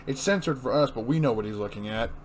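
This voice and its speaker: A man's voice: medium pitch, quick pace, a little hiss or hum. A man co-hosting a review show, casually narrating a comic book story with informal asides.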